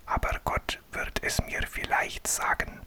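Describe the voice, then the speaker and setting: A man's voice: a little slow, lightly acted. A man whispering a humble wise young man. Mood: earnest.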